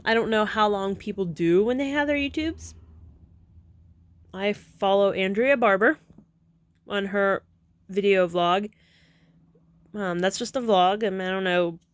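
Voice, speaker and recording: A woman's voice: mid-range voice, no room sound. Chatty woman in her thirties, dry sound. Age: 30s. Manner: chatty, digressive, corrects herself, laughs often. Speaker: vlogger. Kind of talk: casual rambling vlog talk. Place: at home.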